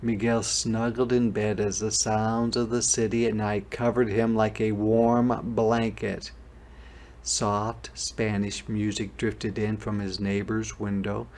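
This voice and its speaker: Male teacher, children's read-aloud, explanatory, unhurried, creaky voice. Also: fairly low pitch, flat delivery, darkish tone. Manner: explanatory, pausing to explain words and pictures.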